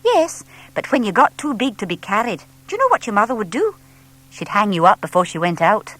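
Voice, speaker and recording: Woman acting an enthusiastic, patient young lady, very lively. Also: mid-range voice, brisk, pitched above the performer's own voice. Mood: explaining. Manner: animated, explaining gently to a small child. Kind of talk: children's audiobook character voice.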